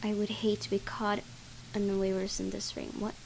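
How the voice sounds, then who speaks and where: Female gaming host, reading visual novel narration, casual asides, medium pitch, faint background noise. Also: completely flat delivery, normal speed, creaky, darkish tone.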